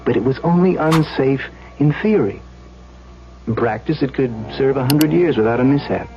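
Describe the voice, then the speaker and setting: An actor playing a conflicted, reflective public official in a first-person radio drama. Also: male voice, mid-range voice. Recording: dull old radio recording.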